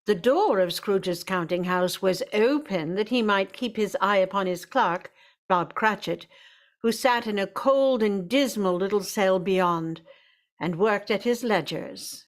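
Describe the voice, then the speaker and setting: A woman's voice: mid-range voice, measured pace. A woman narrating a scripted story for a radio drama.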